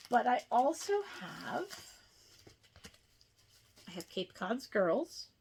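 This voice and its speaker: A woman hosting a casual craft show-and-tell, chatting in an unhurried way with rambling asides. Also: a little high, big pitch swings, darkish tone, slightly rough. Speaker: cross-stitch video host.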